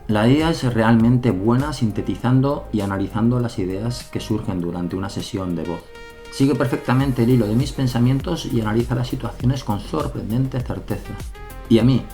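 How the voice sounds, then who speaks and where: A man with an accent from Spain, narrating his own game-development devlog in an earnest, measured way. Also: mid-range voice, mellow. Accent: Spain Spanish. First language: Spanish. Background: background music.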